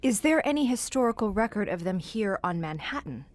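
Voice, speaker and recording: A woman's voice: mid-range voice, very animated, brisk, close to an everyday voice. An actress playing an inquisitive, educated woman in an audio horror drama, articulate and formal as she probes for answers. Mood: curious. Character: inquisitive, educated woman questioning a veteran monster hunter. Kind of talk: theatrical audio drama acting.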